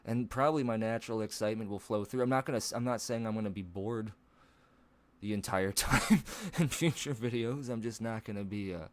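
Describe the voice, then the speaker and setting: A man with a fairly low-pitched voice that cracks into strange higher notes. He talks loosely and off the cuff on his live stream at home, rambling, cursing and laughing at his own jokes. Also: fairly quick, slight background noise. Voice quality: low voice with odd high breaks. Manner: rambling, swearing, laughs at himself. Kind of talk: casual live-stream chat.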